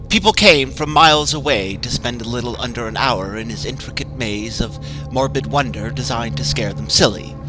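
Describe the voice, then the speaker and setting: A man's voice: medium pitch, poor audio. Man narrating a horror story over music. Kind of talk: dramatic horror story reading.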